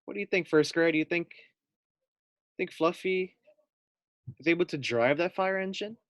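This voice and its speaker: A man's voice: sits high, normal speed, fairly bright tone, no echo, studio quality. A man reading a children's storybook aloud as a teacher, expressively, putting questions directly to young listeners.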